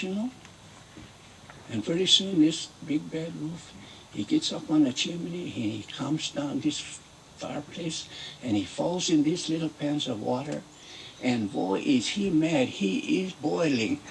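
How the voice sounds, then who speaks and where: A man playing a Mexican-American storyteller who tells a comic fairy tale in broken English, with drawn-out, repetitive folksy phrasing. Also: a little high, vocal fry, far from the mic, a little hiss or hum, rough recording. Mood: comic indignation. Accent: put-on Mexican-American.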